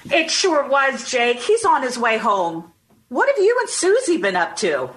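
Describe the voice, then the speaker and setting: An actress playing a warm, supportive 1950s wife and mother in an old-time radio drama, affectionate and encouraging. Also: high-pitched, big pitch swings, unhurried. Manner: bright-spirited, encouraging, affectionate.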